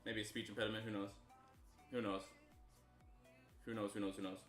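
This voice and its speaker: Excitable young Canadian streamer, bright voice. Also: male voice, on the low side, fairly quick, roomy sound, soft background music. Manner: loose and chatty, bursts of loud excitement. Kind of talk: casual stream chat with viewers.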